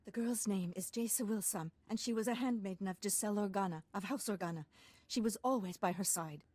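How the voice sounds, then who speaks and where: A woman's voice: medium pitch, a little fast, darkish tone, dry recording, natural voice. An actress playing a haughty, easily rattled aristocratic lady in a video game, refined and dramatic. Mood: pleading. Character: haughty young aristocratic lady, anxious and pleading when threatened. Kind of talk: video game cutscene acting.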